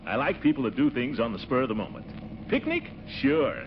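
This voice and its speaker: A man's voice: mid-range voice, big pitch swings, fairly quick. An actor playing a blustery, eager, pompous middle-aged American man in a 1940s radio comedy. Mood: jovial, coaxing. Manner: blustery, exclaiming, hemming. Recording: muffled, noisy 1940s radio recording.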